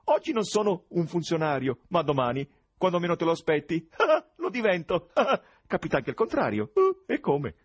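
Shrill, tremulous, gushing tenor busybody. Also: male voice, sing-song, normal speed, few pauses, husky, no echo. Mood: gleeful, effusive. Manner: gushing, wheedling, exclamatory. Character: effusive, slippery, unflappable skinny male stranger, fawning chatterbox.

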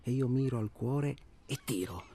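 A male actor plays a worn-out, restless man in a naturalistic radio drama. His voice sits at a middle pitch. He rambles with agitation and needles his companion with sardonic remarks. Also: normal speed, dark tone, a little hiss or hum, lightly acted. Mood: agitated, weary. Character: weary, restless man tormented by his job, needling talker.

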